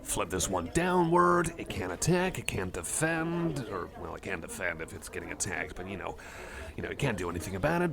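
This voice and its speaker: A man's voice: lowish voice, creaky, a bit bright, full character voice. A man playing a friendly, nerdy cyborg card enthusiast in a casual ASMR roleplay, rambling hesitantly and apologetically. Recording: cafe crowd chatter behind. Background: busy cafe chatter.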